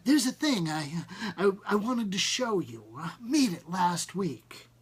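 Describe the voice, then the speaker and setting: A man's voice: sits high, sing-song, slow pace, breathy edge, full character voice. A man voicing a small, chubby, shy but eager male inventor in a story reading, stammering modestly as he speaks. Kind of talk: audiobook character dialogue.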